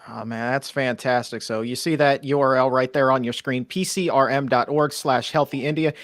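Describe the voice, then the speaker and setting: A man's voice: mid-range voice, fairly even intonation, normal speed, no room sound. Male host, enthusiastic, chatty, dry close studio sound. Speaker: show host. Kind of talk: upbeat talk show hosting.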